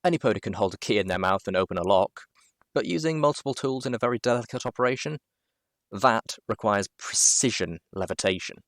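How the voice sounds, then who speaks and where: A man reading a first-person story aloud in an expressive, theatrical and wry manner, with dramatic pauses. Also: lowish voice, dry recording, studio quality. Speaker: amateur story reader. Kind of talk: expressive first-person fan fiction narration.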